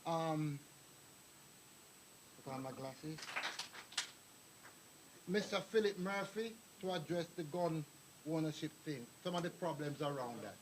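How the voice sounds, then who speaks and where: This is an older man, talking at a meeting hall. He tells stories, drifts off topic and calls out to people in the audience. His voice sits high, with a faint hiss under it. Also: measured pace, pauses often. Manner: rambling, anecdotal, addresses the crowd directly. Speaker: speaker at a community meeting. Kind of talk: public address to an audience.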